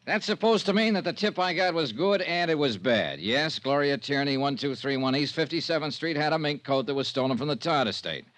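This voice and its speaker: A man's voice: on the high side, brisk, hardly any pauses, fairly bright tone, lightly acted. A man playing a tough, wry insurance investigator in 1950s radio style, clipped, dry and sardonic. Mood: brusque, sardonic. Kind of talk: 1950s radio detective acting. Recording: noisy vintage radio recording.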